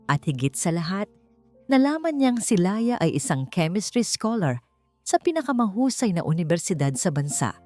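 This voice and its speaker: A Filipino woman narrates an audiobook drama in a fairly low voice. Music plays behind her scripted, dramatic storytelling. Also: darkish tone. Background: background music.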